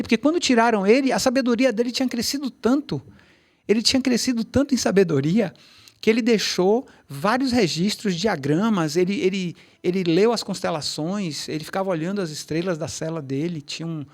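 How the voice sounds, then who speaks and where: High-voiced man telling stories. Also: fairly quick. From Brazil, Bahia. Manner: rambling storyteller, many restarts and asides. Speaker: tai chi teacher, podcast guest. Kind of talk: casual interview talk.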